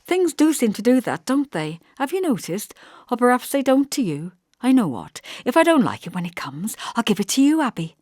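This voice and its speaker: A woman voicing a brittle, wistful young pregnant wife in an audiobook, with a detached manner that drifts from bright to toneless. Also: fairly high pitch, sing-song, moderate pace, no room sound, clean studio sound, pitched above the performer's own voice.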